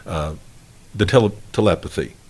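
A man's voice: sits low, normal speed, darkish tone, breathy edge. A man hosting a casual conversational interview in a rambling, folksy, self-deprecating way. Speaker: interview host.

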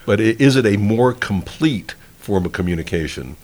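A man's voice: fairly low pitch, expressive, normal speed, a little mellow. A man hosting a casual conversational interview in a rambling, folksy, self-deprecating way. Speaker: interview host.

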